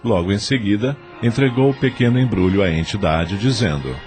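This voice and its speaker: Casting: male Brazilian storyteller, low-pitched, scripted audio-drama narration. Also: a little fast, darkish tone, faint music behind.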